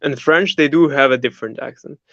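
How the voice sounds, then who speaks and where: A man's voice: medium pitch, brisk, vocal fry, a bit bright, dry recording, dull audio. A young man from Belgium chatting as a podcast guest, hesitant, with many fillers and slightly non-native phrasing. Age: young adult. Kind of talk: casual chat.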